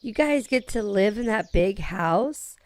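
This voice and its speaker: Slow-talking, earnest girl played by a woman. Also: mid-range voice, animated, a little mellow, lightly acted. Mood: hopeful, concerned. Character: earnest, feisty girl, a loyal young friend. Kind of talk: scripted Minecraft roleplay acting.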